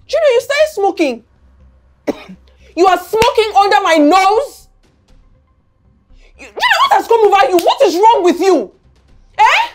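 A woman's voice: sits high, very animated, a little fast, music in the background. A woman acting a distraught, angry mother with a Nigerian accent in a film drama, scolding and pleading. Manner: scolding, pleading, repeats questions.

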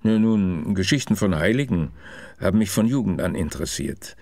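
A man's voice: deep voice, expressive, normal speed, a little mellow, no room sound. An actor playing a kindly father who explains saints' stories in a scripted audio drama. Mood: kindly, explaining.

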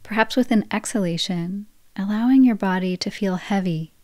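A woman guiding a yoga nidra relaxation practice, speaking in slow, soft, soothing phrases. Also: mid-range voice, no echo, studio quality. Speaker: meditation guide.

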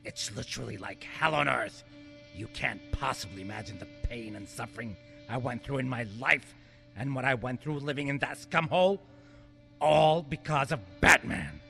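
Man acting a maniac comic-book villain, menacing, theatrical, very forceful gravelly voice, music behind, radio play. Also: mid-range voice, animated, moderate pace. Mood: bitter, brooding. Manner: theatrical ranting, menacing, cackling.

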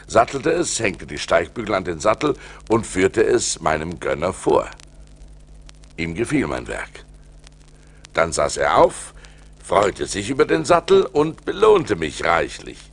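An actor playing an adventurous seafarer who recounts his ordeals theatrically in a German radio play, moving between hushed and forceful delivery. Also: low-pitched, very animated, measured pace, vocal fry, heavily acted. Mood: vivid storytelling. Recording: noisy vinyl record transfer.

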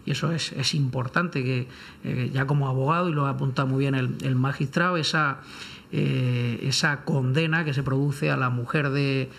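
Measured, hesitant man giving careful commentary. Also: mid-range voice, fairly even intonation, vocal fry, a bit bright. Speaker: panelist, lawyer. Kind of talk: unscripted legal commentary in a round-table debate.

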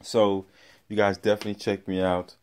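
A man's voice: on the low side, flat delivery, fairly quick. A middle-aged man walking through his home with a phone, chatting upbeat about paintings for sale, with many fillers and restarts. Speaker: art seller previewing his auction. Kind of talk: casual walkthrough vlog. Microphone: handheld phone camera, walking around.

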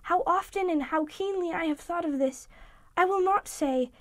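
A man voicing a soft, high-pitched sorrowful young woman. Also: no echo. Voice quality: soft, gentle. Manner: quiet, measured, resigned pleading. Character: gentle, sorrowful young woman releasing her betrothed. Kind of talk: audiobook reading.

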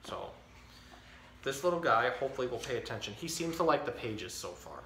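A man in his thirties hosting a storytime, reading a children's picture book aloud with expressive, clearly enunciated delivery. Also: medium pitch, a little fast, slight background noise. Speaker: storytime host. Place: indoor tiled-floor room. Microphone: camera a few feet away. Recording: echoey room.

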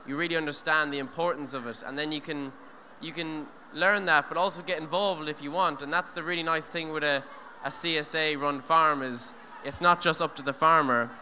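Young guy talking on stage, earnest and rambly, bright edgy voice, echoing hall. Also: a little high. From Ireland. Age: young adult. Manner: earnest, rambling, many restarts and "you know". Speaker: speaker at an eco event. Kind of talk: talk on stage. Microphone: handheld mic. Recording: long hall reverb, faint noise.

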